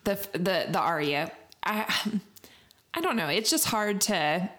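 A woman's voice: on the low side, very animated, unhurried, a bit bright. A young woman in casual chat, talking in a teasing, chatty way and laughing as she talks.

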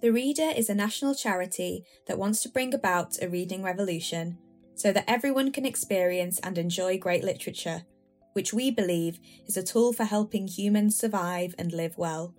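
A woman's voice: medium pitch, normal speed. A woman reading a scripted, informational podcast outro as an announcer. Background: soft instrumental music.

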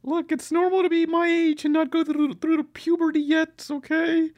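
Prepubescent boy for a podcast bit: very high, whiny, defensive. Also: little pitch movement, normal speed, darkish tone. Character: indignant, whiny boy who hasn't reached puberty.

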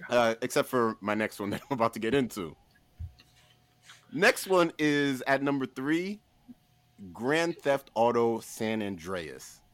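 A man talking casually and comedically as a podcast guest, pitching a list with an emphatic, playful showman delivery. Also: big pitch swings, unhurried, a bit bright, no room sound.

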